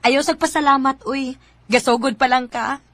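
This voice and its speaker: An actress playing a worldly, chatty female coworker who hands out advice in a radio drama, expressive, with a Cebuano Filipino accent. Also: high-pitched, normal speed, very noisy. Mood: teasing.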